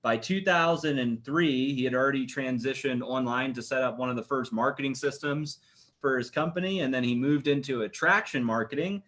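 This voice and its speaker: A man's voice: medium pitch, a little fast, fairly bright tone. A man co-hosting an event, casually thanking and introducing speakers. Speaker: event co-host.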